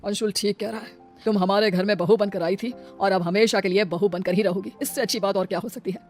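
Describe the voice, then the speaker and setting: A woman's voice: medium pitch, fairly even intonation, a little fast, lightly acted. A woman voicing a kindly, grieving older father-in-law in a cartoon family melodrama, earnest and consoling, with music behind. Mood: persuasive.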